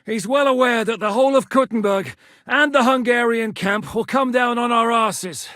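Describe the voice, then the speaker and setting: A man's voice: extremely high pitch, moderate pace, sharp tone, no room sound, studio quality. An actor voicing a blunt, battle-hardened male rebel commander in a game, giving plain talk and bellowed battle orders. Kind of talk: game voice acting.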